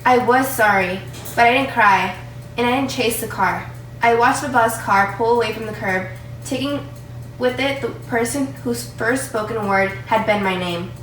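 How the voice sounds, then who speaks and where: A woman's voice: on the high side, normal speed, fairly bright tone. Distant, echoey woman narrator. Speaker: narrator in readers' theatre. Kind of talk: scripted dramatic narration. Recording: distant, echoey, faintly noisy room.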